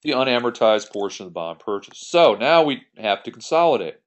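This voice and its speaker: A man's voice: mid-range voice, big pitch swings, fairly quick. A man giving an explanatory tutorial as an accounting instructor, working through an example step by step in a conversational way. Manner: step-by-step, conversational, poses questions then answers them. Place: voice-over on a screen recording.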